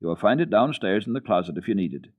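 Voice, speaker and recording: A man voicing a kindly old grandfather in a children's storybook, speaking in plain, measured instructions. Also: on the low side, animated. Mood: instructing.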